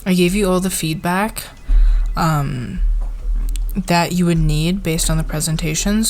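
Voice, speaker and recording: A woman playing a friendly, encouraging boss in an ASMR roleplay, talking to a team in a halting, soft-spoken office manner. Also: fairly low pitch.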